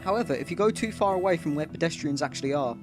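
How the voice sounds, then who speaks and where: Male gaming video host, casual explanatory commentary, slightly raised pitch, clear guitar music in background. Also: expressive, fast.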